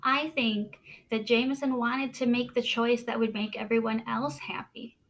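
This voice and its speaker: A woman teacher reading aloud to children expressively, with deliberate dramatic pauses and questions for her young listeners. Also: fairly high pitch, flat delivery, fairly bright tone.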